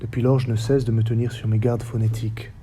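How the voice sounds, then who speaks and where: A man in his forties with a faint Basque accent speaks in a very dark, mellow tone that stays fairly flat in pitch. He gives a reflective talk in measured, paused phrases, with a faint hum of ambient noise behind him. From French Basque Country. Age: 40s. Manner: measured, list-like delivery with short paused phrases. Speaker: stage director and researcher. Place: theatre stage or hall.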